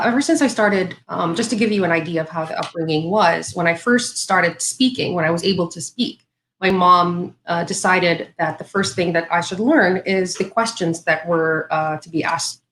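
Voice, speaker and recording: A woman in her thirties from Saudi Arabia talks in a low voice, chatting as a guest on a livestream. She fills her speech with "um"s and restarts sentences as she goes. The room sounds echoey, with faint ambient noise behind her. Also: moderate pace, fairly bright tone. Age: 30s. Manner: conversational, many fillers and restarts. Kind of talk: casual livestream interview.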